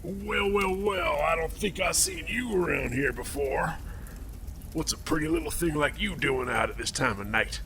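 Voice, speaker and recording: A man's voice: on the high side, normal speed, creaky. A man playing a crude, menacing militia captain with a smarmy, folksy drawl in an amateur audio drama. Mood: leering, sleazy. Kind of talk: audio drama script reading. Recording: noisy home recording.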